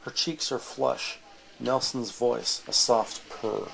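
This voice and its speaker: A man's voice: mid-range voice, steady tone, takes it slowly, vocal fry, a bit bright, a little hiss or hum, poor audio. A man narrating a scripted audio drama in a descriptive storytelling style.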